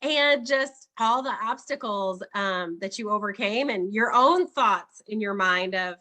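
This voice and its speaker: A woman's voice: mid-range voice, a little slow, bright, forceful tone. A woman hosting a casual online chat, laughing often and encouraging her guest over a video call. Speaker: host.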